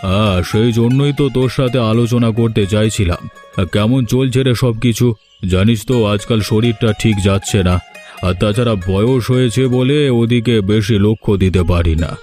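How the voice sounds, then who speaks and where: A man's voice: fairly low pitch, normal speed, a little mellow, natural voice. A man voicing an ageing, kindly, trusting landlord father in a cartoon, with a weary, troubled manner. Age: older. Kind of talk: animated cartoon dialogue. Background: background music.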